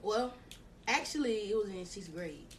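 A woman's voice: mid-range voice, lively intonation, normal speed, distant mic, slight background noise. A woman guest on a casual podcast, rambling and correcting herself, blunt in tone. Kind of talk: casual chat.

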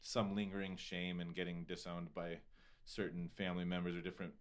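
A man's voice: sits low, little pitch movement, brisk. An American man hosting a casual podcast conversation, rambling and digressive, full of filler words and swearing. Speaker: podcast host.

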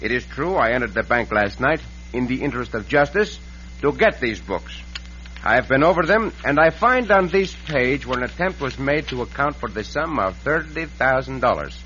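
An actor playing a dashing, courteous Mexican cowboy vigilante in an old radio western, with a Mexican Spanish accent and suave, formal phrasing. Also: male voice, medium pitch, sing-song, moderate pace, sharp tone. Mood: accusing, formal. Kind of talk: 1940s-50s radio western acting. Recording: dull, lightly noisy old radio recording.

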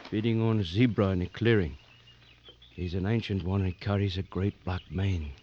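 Actor as low-voiced, steady safari tracker. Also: male voice, moderate pace, close to an everyday voice. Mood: steady, watchful. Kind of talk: 1940s-50s radio drama acting. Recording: dull vintage radio recording, faint noise.

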